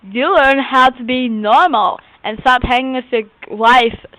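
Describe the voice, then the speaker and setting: A woman's voice: high voice, measured pace, sharp tone, low-quality recording. A woman voicing a blunt, defensive debater with a lisp in an amateur comedy skit. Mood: defensive, retorting. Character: defensive, bickering debater with a funny voice. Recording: faint background noise.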